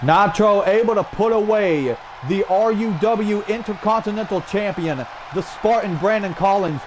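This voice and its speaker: A man calling a video game wrestling match play by play, energetic with shouted exclamations and a strained throat. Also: high-pitched, moderate pace. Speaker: play-by-play commentator. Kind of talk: excited wrestling commentary over video game. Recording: loud game crowd and noise behind. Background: video game wrestling crowd.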